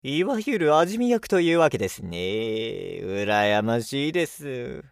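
An actor playing a genial, knowing cafe customer in an audio drama, speaking politely and explaining things. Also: male voice, mid-range voice, big pitch swings, slow, creaky, dry recording, clean studio sound, heavily acted. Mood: amiable. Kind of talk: Japanese audio drama acting.

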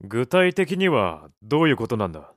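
A male actor plays a gruff but caring young companion in a radio play. He talks bluntly and informally in a medium-pitched voice, recorded dry. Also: very animated, normal speed, dry recording, close to an everyday voice. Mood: questioning. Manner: blunt, rough casual male speech. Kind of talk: Japanese audio drama acting.

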